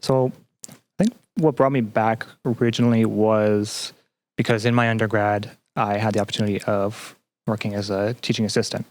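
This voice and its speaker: A man talking casually as an interview guest, halting often as he searches for words. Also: on the low side, little pitch movement. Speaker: podcast guest, graduate student.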